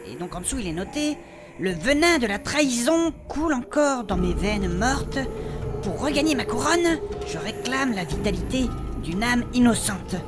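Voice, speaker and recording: Woman acting a sensible, high-voiced adventurer. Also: big pitch swings, normal speed. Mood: solemn reading. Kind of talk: comedic fantasy audio drama acting. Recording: music behind the voice.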